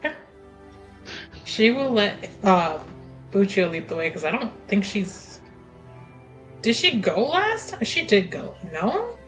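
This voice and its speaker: A woman's voice: medium pitch, very animated, slow pace, pauses often, faint music behind, rough recording. A woman playing a tabletop roleplaying game, talking casually and narrating her character's actions.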